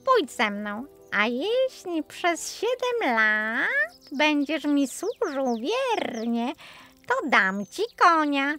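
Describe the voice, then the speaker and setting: A woman's voice: high-pitched, very animated, extremely slow, sharp tone, soft background music, voice pushed up, exaggerated voice. A woman voicing a gracious, bossy little talking tomcat in a fairy tale, coaxing and commanding with a meowing drawl.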